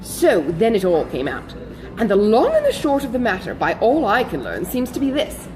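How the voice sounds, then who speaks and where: A woman voicing an excitable, good-hearted older society lady who gossips in breathless exclamations, in a classic novel audiobook. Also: on the high side, moderate pace, rough recording. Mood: astonished, gossiping.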